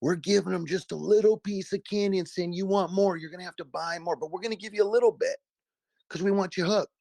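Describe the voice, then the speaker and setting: A man's voice: high voice, steady tone, fast talker, vocal fry, darkish tone, no room sound. A man, a guest investor giving a casual, emphatic and folksy endorsement over a video call.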